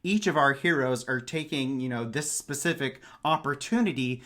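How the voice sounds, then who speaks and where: A man's voice: mid-range voice, normal speed, bright, forceful tone. Man talking through a book. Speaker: podcast panelist discussing romance novels. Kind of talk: casual book discussion.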